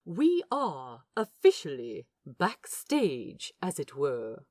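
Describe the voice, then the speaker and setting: A woman plays a courteous, smitten gentleman in an audio drama, speaking slowly and softly with quaint politeness. The voice is lowish and husky, with an eager, lilting delivery. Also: wildly swooping pitch, heavy background noise, full character voice. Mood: eager, confiding. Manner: polite, quaint phrasing, soft-spoken. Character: Aziraphale, gentle eager old-fashioned man, smitten and courteous. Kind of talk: fan fiction audio drama.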